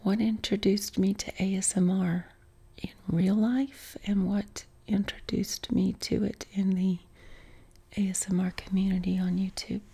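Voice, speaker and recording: A middle-aged woman with a softened Appalachian accent whispers close to the mic, her voice airy and husky with no tone behind it. She talks slowly and evenly, with a mellow, low-key flatness and frequent soft ums. She sits on a couch in her living room. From West Virginia. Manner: unvoiced whisper, unhurried with many ums. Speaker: ASMR creator. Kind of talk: whispered Q&A chat. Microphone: close mic, camera framed on torso.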